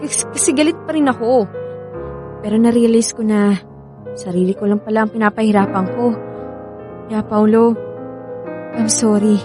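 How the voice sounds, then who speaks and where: A woman's voice: a little high, moderate pace, pauses often, music in the background, lightly acted. An actress playing a remorseful young woman in tears with a Filipino accent in a radio drama. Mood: remorseful, confessing. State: crying. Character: tearful, remorseful young woman begging an ex back.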